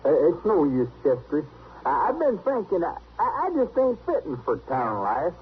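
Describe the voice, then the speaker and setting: A man playing a simple, earnest, stubborn backwoodsman with a rural American accent and halting, folksy speech in an old-time radio western. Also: fairly high pitch, huge pitch swings, breathy edge, heavily acted. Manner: halting, plain-spoken, folksy. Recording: tinny, hissy old radio recording.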